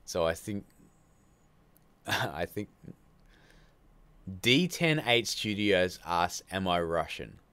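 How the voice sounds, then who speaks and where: A man's voice: deep voice, big pitch swings, takes it slowly, long pauses, bright, forceful tone, clear, resonant tone, no echo. An Australian man hosting a live stream, rambling casually as he reads out viewer comments and answers them with frequent laughs. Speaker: livestream host.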